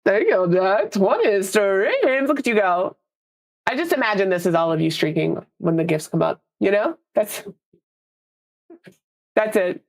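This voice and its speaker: A woman's voice: medium pitch, expressive, unhurried, pauses often. Congested, nasal young woman streamer. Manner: chatty, rambling, laughs easily. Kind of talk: casual live-stream chat.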